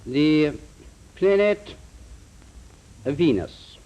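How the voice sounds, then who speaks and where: Man voicing a solemn, raised-pitch alien from Venus. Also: big pitch swings, dark tone, highs cut off, heavily acted. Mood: solemn, courteous. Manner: slow, stately, formal. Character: solemn, courteous male alien intelligence from Venus. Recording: 1950s broadcast recording.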